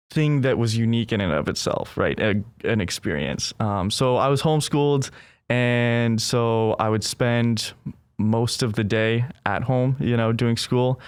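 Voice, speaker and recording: A man's voice: medium pitch, smooth, no room sound. A young man who grew up in Brazil, speaking as a guest in a casual interview, thoughtful and earnest, often adding "right?". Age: young adult.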